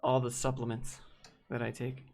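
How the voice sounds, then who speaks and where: A young man hosts a loose question-and-answer chat and answers viewers off the cuff. He rambles openly and keeps restarting his sentences. His voice sits at an ordinary middle pitch for a man. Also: monotone, normal speed, darkish tone. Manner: rambling and candid, many fillers and restarts. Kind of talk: casual livestream Q&A chat.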